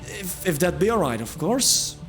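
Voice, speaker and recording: A man's voice: sits high, sing-song, moderate pace, a bit bright, pitched above the performer's own voice. Male actor as young fantasy adventurer; earnest, eager, playful, music underneath. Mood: hopeful, earnest.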